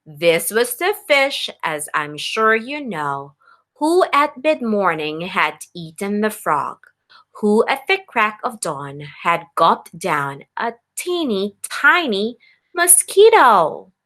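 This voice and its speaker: Animated, bright-voiced woman reading to children. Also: mid-range voice, takes it slowly. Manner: animated, instructive, addressing children directly. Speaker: teacher reading aloud to young children. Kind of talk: expressive picture-book read-aloud with questions to children.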